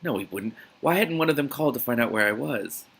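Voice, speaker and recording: A man reading a novel aloud as host of a reaction series, in a dramatic, expressive manner. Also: medium pitch, fast talker, creaky, slightly rough.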